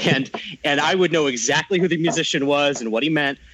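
A man speaking as an interview guest, explaining at length in a measured way with frequent fillers. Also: on the high side, fairly bright tone, slight background noise, low-quality recording. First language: English. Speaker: game translator guest. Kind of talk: casual interview conversation.

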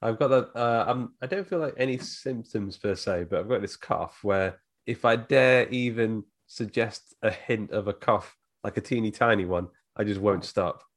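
Podcast host guy, low voice, laid back storytelling. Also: moderate pace. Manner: relaxed storyteller, laughs easily. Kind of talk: casual chat.

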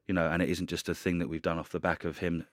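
A man's voice: sits low, little pitch movement, fast talker, no room sound, clean studio sound. A man co-hosting a football podcast, speaking in an earnest, plain-spoken way. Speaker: podcast co-host. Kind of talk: casual chat.

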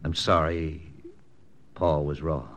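An actor playing a confident American army intelligence lieutenant in a 1940s radio drama, brisk, persuasive and matter-of-fact. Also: male voice, extremely low pitch, little pitch movement, a bit bright, slight background noise, heavily acted. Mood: persuasive, firm. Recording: old radio broadcast with music.

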